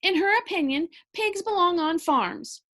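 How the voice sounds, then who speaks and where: A woman voicing a gentle, timid, apologetic old lady in a storybook, soft-hearted and hesitant. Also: very high voice, expressive, unhurried, voice pushed up, exaggerated voice. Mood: earnest.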